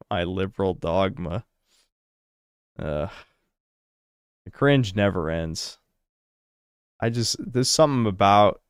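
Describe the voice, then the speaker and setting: A man's voice: sits low, smooth, dry recording, studio quality. A young American man streaming, reading donation messages and answering chat in a blunt, crude, sarcastic way. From United States.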